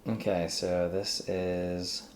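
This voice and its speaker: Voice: deep voice, level tone, slow pace. A tutorial host thinking aloud casually in a screen-recorded coding demo, with informal asides and some keyboard typing. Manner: informal, exclamatory asides to self and software. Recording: faint background noise, keyboard typing.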